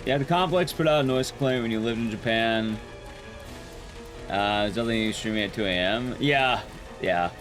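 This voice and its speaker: A young man with a loud, carrying voice chatting casually with his viewers while streaming a game, rambling and restarting sentences as he goes. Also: normal speed, soft background music. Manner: rambling, restarts sentences, lively rises and drops in pitch. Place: his room at home, soundproofed.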